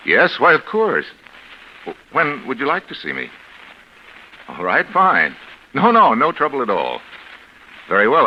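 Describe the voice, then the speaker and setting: An actor playing a grave, authoritative psychiatrist in 1940s radio drama style, with formal, measured, theatrical diction. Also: male voice, mid-range voice. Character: grave, articulate male psychiatrist, smoothly authoritative husband. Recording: muffled, noisy vintage radio recording.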